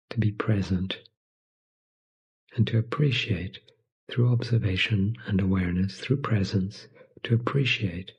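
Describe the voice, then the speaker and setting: A man leading a guided meditation in a soft, soothing, breathy voice, speaking gently in short phrases with long pauses between them. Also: on the low side, muffled recording.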